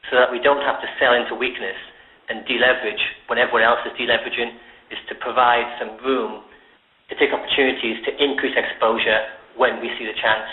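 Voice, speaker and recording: Man on a roomy phone line, even delivery. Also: medium pitch. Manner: measured, explanatory, formal. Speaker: fund manager. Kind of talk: conference call performance update. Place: conference call line.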